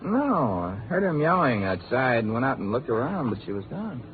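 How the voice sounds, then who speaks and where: A man's voice: mid-range voice, very animated, normal speed, natural voice. An actor playing a plain-talking, stubborn policeman with slangy, blunt speech in 1950s radio drama style. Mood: matter-of-fact. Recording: tinny, faintly noisy 1950 radio broadcast.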